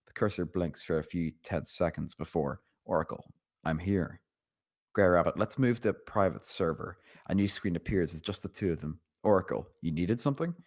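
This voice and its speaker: A man's voice: low voice, normal speed. A man narrating a screenplay, reading out the scene directions.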